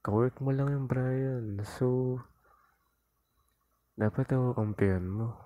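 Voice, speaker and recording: A man acts out a young Filipino boyfriend in an intimate ASMR roleplay. He is jealous and possessive, sulking and whining playfully at his partner. His medium-pitched voice is recorded close and dry on a lapel mic. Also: steady tone, slow pace, warm tone, no echo, close to an everyday voice. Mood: possessive, sulky. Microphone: lapel mic into a phone, close.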